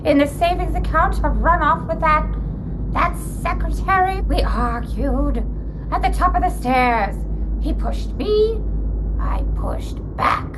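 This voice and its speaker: A woman playing a frantic, guilt-ridden old mother in a halting, dramatic read for a live comedy script show. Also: high-pitched, lively intonation, noisy background, voice pushed up. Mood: anguished, confessing. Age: older.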